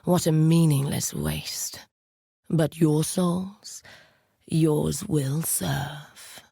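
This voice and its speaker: A woman playing a commanding, coldly seductive necromancer villainess in a video game, speaking in a grandiose, deliberate, menacing way. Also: sits low, steady tone, gravelly, warm tone, husky, no room sound, studio quality, full character voice. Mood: grim, contemptuous.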